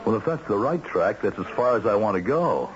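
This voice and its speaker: An actor playing an anxious, self-doubting executive short of money, with hesitant, stammering delivery in the style of 1970s radio drama. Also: male voice, fairly low pitch, lively intonation, fairly quick, background noise, lightly acted. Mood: shocked, disbelieving. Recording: dull old radio drama recording, music behind.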